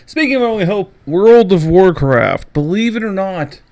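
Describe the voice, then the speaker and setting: A man's voice: high-pitched, lively intonation, normal speed, a little mellow. A man hosting a casual pop culture news podcast, emphatic, sarcastic and given to swearing. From Canada. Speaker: podcast host.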